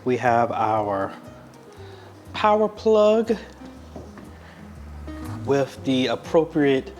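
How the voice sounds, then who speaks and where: An American man presents a product review in a casual, conversational manner. His voice sits at a middle pitch, and he thinks aloud as he goes. Music plays behind his talk. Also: very animated, very slow, darkish tone. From United States. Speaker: video host and church media ministry member. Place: apartment room, indoors.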